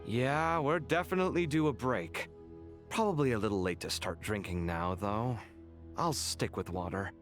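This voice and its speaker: An actor playing a young male scholar in a game cutscene, expressive and quick to protest. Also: medium pitch, soft background music, close to an everyday voice. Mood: weary, friendly. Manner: animated, exasperated, quick to protest.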